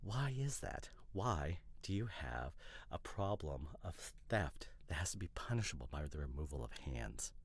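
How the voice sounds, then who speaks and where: A man playing an opinionated, teasing boyfriend in an ASMR roleplay, chatting casually in a hesitant, rambling way with mock seriousness and a gravelly voice. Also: rumbling low, sing-song, normal speed, no room sound, full character voice. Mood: earnest, argumentative. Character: opinionated, teasing boyfriend debating couple's costume ideas.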